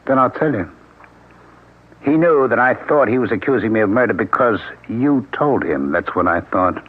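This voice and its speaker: An actor playing Max, a paranoid, suspicious husband, bitter and sarcastic, in a 1970s radio drama. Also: male voice, mid-range voice, animated, normal speed, natural voice. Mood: cold. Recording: tinny old radio broadcast with music.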